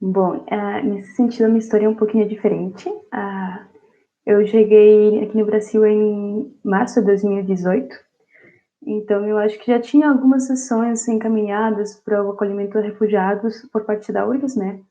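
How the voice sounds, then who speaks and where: Venezuelan woman, native Spanish speaker, mellow and a bit high, reflective guest answer, dull lo-fi audio. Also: flat delivery, normal speed. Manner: reflective, many fillers and restarts. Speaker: interview guest, university student. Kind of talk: casual interview answer.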